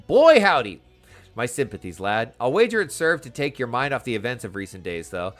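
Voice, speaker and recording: A man's voice: mid-range voice, big pitch swings, fairly quick, fairly bright tone, soft background music. A man voicing a hearty, proud shipwright from a fantasy game, with bluff, theatrical, old-fashioned speech.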